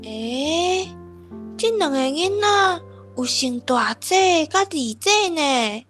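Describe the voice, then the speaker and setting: A teasing big sister kid in a radio play, taiwanese accent, really bright high voice, childlike. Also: female voice, animated, slow pace, soft background music, full character voice. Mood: curious, cheerful. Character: young girl, bossy playful older sister. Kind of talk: children's radio drama acting.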